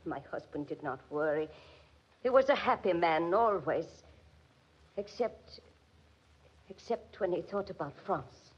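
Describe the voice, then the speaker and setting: An actress playing an anxious, gentle German immigrant widow in a 1940s radio drama, speaking with a German accent in halting, pleading, formal phrases. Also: female voice, on the low side, animated, slightly rough. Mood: wistful, proud. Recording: muffled vintage 1940s radio recording.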